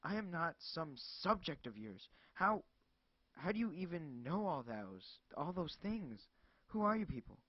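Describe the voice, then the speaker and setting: A man voicing a frightened, furious woman who is cornered by strangers, with a shrill, panicky delivery. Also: unhurried, dry recording, natural voice. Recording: dull lo-fi dry recording.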